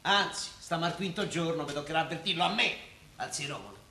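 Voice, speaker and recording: A man's voice: a little high, fast talker, bright, forceful tone. A man acting in a Roman-dialect radio play as a weary, blunt working-class father. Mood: exasperated. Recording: roomy stage sound, faint noise.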